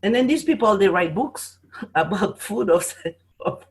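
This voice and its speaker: A middle-aged woman from Haiti speaks as a podcast guest. She tells her stories in an animated, chatty way. She often restarts her sentences. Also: mid-range voice, a little slow. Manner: animated storyteller, frequent restarts, laughs while telling. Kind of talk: conversational storytelling interview.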